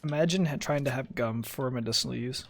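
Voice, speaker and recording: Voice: mid-range voice, fast talker, vocal fry, slight background noise. A gamer chatting casually during play, with playful, incredulous questions. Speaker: streamer playing a video game. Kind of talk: casual gaming chat.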